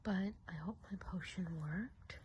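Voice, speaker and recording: A woman playing a kindly, gossipy witch healer of the woods in a soft, breathy whisper, chatty and soothing, for an ASMR roleplay. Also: medium pitch, measured pace, a little hiss or hum, natural voice. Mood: soothing concern.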